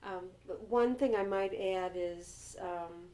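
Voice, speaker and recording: A woman conducts an informal interview. Her voice is soft-edged and mellow, at a middling pitch for a woman. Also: slow pace. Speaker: oral history interviewer.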